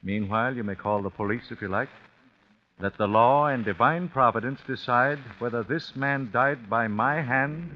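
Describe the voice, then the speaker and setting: An actor as a grim, deliberate avenging father, muffled old radio. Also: mid-range voice, natural voice. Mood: grim, composed. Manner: deliberate, solemn, formal. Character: grim, vengeful wealthy father, coolly judging his suspects. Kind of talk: 1940s radio drama acting. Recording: muffled, hissy 1940s radio recording.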